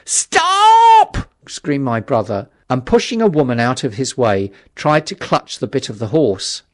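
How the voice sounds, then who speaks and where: A man reading a classic novel as an audiobook narrator, in measured, dramatic storytelling. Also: medium pitch, very animated.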